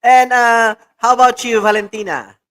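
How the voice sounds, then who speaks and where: A man's voice: very high voice, steady tone, normal speed, a bit bright. A man hosting an online talk show, enthusiastic and repetitive, with many fillers. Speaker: show host.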